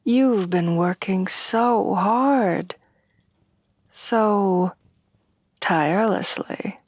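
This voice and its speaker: A woman plays an elegant headless horsewoman bride, devoted and formal, in an intimate ASMR roleplay. She speaks very slowly and softly, with a breathy tone that often sinks into a whisper. The sound is close and dry, without room echo. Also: mid-range voice. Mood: gentle, doting. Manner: refined, formal, courtly, tenderly doting. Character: refined, devoted headless horsewoman bride-to-be, adult monster girl. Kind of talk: soft-voiced romantic ASMR roleplay.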